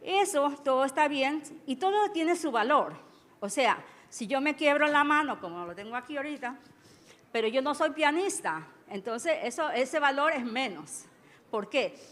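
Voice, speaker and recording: A woman from Ecuador, a judge, giving a conference talk in a halting manner with many restarts. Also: sits high, expressive, fairly bright tone. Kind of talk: conference speech, switching between English and Spanish. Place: large conference hall. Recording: reverberant conference hall.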